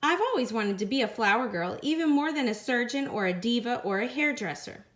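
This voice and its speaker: A woman's voice: on the high side, animated, fairly quick, lightly acted. Lady doing a proud dramatic little mouse girl, storybook style, emphatic, drags out words, very determined. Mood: dreamy, determined.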